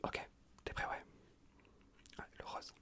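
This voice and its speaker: Man in a hushed ASMR whisper. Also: slow pace. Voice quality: breathy unvoiced whisper. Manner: casual, colloquial, reassuring instructions with soft repetition. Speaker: ASMR performer playing an improvised examiner. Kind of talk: whispered ASMR medical exam roleplay. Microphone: close USB condenser microphone.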